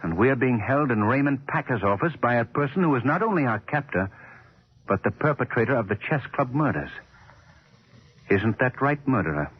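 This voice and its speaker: An actor playing a resolute male sleuth in 1940s radio drama, speaking in a commanding, deliberate, articulate way. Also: mid-range voice, lively intonation, slightly rough. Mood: grave, deliberate. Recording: dull 1940s radio recording.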